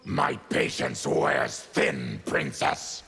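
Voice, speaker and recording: A man voicing a feral, diseased monster warrior in a video game, with a gravelly rasp and a grave, menacing, formal manner. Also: rumbling low, huge pitch swings, very slow, bright, forceful tone, soft background music, heavily acted. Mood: grim. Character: feral, diseased monster warrior, a proud brooding former tribune. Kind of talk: video game character acting.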